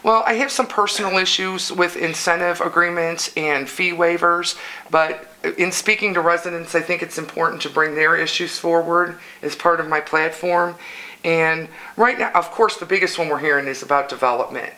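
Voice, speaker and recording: An older woman with an Illinois accent answers interview questions in a bright, clear voice. She speaks earnestly as a local council candidate and often stops to restart a sentence. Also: on the low side, steady tone, normal speed, low-quality recording.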